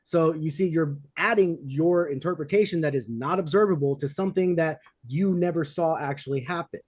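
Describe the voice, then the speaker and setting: A man debating, making insistent, measured rebuttals. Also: a little high, husky. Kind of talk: heated debate.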